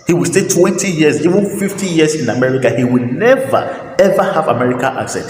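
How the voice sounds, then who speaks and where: A man hosting a talk, speaking his opinions in an emphatic, preachy monologue, repeating phrases and pausing on fillers. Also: a little high, expressive, darkish tone, a little hiss or hum. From Nigeria. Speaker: host. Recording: roomy echo with long reverb, faint ambience.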